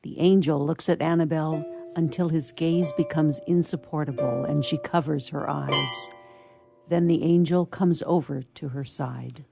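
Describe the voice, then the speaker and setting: Deep voiced lady narrating a radio play, gravelly, music playing behind. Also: fairly even intonation, moderate pace.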